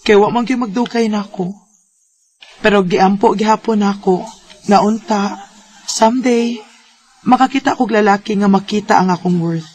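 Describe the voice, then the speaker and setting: A woman acting a gentle, heartbroken young woman in a Bisaya radio drama, with a Filipino Bisaya accent. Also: medium pitch, moderate pace, pauses often, lightly acted. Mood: wistful, heartbroken. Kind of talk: radio drama acting and first-person narration. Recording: radio drama with music underneath.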